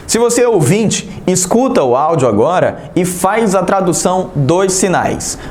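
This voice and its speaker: A man's voice: a little high, darkish tone, reverberant. A man teaching an online sign language lesson, talking straight to the viewer in an encouraging, direct way. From Brazil.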